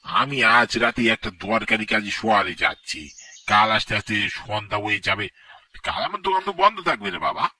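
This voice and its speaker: A man voicing a kindly, apologetic village sweet-shop keeper in a cartoon, with gentle, fatherly phrasing. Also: fairly low pitch, animated, normal speed, no echo, far from the mic, heavily acted.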